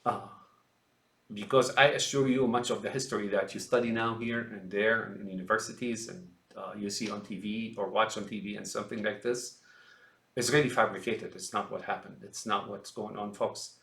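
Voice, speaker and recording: A man's voice: on the low side, steady tone, normal speed, vocal fry, fairly bright tone, reverberant. A man from Syria giving a rambling, emphatic political monologue, often calling his listeners folks. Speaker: commentator.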